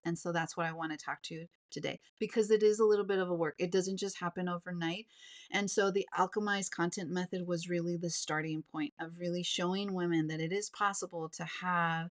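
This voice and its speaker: Calm, low-voiced woman in her forties. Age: 40s. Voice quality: calm, fairly even voice. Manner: earnest and personal, with repeated words and restarts. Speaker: business coach. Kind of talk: live-streamed coaching talk.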